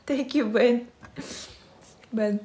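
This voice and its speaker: A woman in her twenties from Russia with a Russian accent, chatting casually with live-stream viewers from her phone at home, softly thanking them and answering questions. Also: high-pitched. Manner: soft, friendly, thanking viewers and reading chat. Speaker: livestreamer. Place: indoors, bedroom. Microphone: phone at arm's length.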